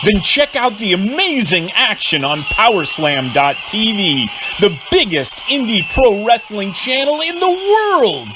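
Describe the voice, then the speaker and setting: A man reading an energetic advert in a hyped, salesy delivery. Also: high voice, measured pace, few pauses. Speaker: ad announcer. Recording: noisy ad audio.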